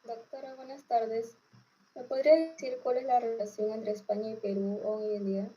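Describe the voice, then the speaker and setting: Very dark, distant female voice. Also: a little high, fairly even intonation, moderate pace. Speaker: student interviewer asking a question. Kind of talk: polite formal question. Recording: dull, distant from the microphone.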